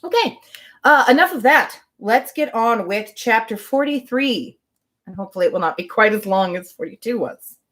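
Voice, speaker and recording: A woman's voice: a little high, animated, moderate pace, a bit bright. A woman hosting a live reading of a novel, reading aloud with chatty, wry asides. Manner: chatty, wry commentary between passages.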